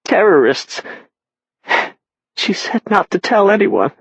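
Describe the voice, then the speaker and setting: A man reading an audiobook, voicing a grieving middle-aged woman who speaks in a tearful, halting way. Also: sits high, sing-song, breathy edge, dry recording, pitched above the performer's own voice, full character voice. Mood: shaken tearful. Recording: slightly dull, dry sound.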